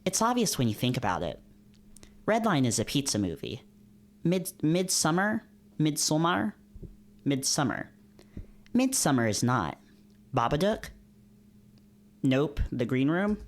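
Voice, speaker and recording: A man's voice: a little high, big pitch swings, unhurried, pauses often. A man streaming a read-along with casual, rambling, jokey commentary, often repeating himself for emphasis. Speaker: streamer reading visual novels aloud. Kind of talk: casual live commentary mixed with dramatic read-aloud.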